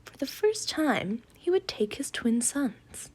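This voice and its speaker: A woman's voice: high-pitched, very animated, slow pace. A woman reading scripted story narration as a student volunteer in readers theater.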